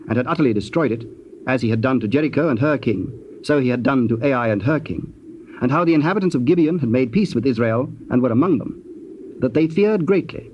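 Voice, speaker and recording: Solemn male scripture narrator on muffled tape. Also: medium pitch. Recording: muffled old cassette, faint noise.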